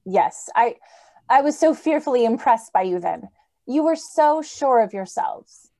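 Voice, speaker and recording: A woman's voice: fairly high pitch, lively intonation, a little slow, warm tone. A woman in her thirties playing an earnest, anxious idealistic wife in old-time radio drama style. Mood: wistful.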